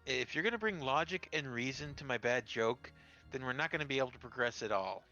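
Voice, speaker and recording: A man's voice: medium pitch, animated, a little fast, bright, forceful tone, muffled recording, faint music behind. A man chatting casually as a co-player, joking in a mock-earnest way. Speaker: stream co-player. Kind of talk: casual chat.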